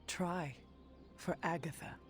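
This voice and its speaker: A woman playing a stoic but compassionate warrior hero in a video game, speaking in a measured, formal way. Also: lowish voice, fairly even intonation, faint music behind. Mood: firm, reassuring. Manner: measured, formal, few contractions.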